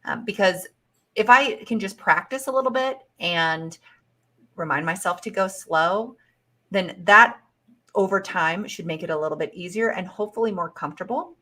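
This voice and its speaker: Bright, measured woman career coach. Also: medium pitch. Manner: measured, encouraging, advice-giving. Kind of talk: casual advice chat.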